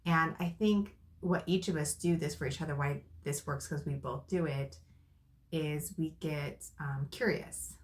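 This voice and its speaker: A woman in casual conversation with her partner, thoughtful and soft-spoken. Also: deep voice, fairly even intonation, a little slow. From Arizona, USA. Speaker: co-host.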